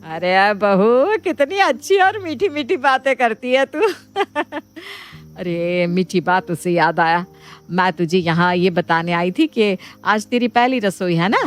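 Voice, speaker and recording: A woman's voice: medium pitch, sing-song, slow pace. A woman voicing an emphatic, theatrical middle-aged Indian mother-in-law in a Hindi cartoon moral story. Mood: doting. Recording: music bed behind the voice.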